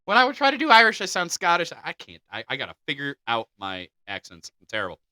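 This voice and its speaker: A man's voice: a little high, fast talker, no room sound. A man co-hosting a podcast, reading folklore aloud and joking between the passages. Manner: animated, jokey. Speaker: podcast co-host.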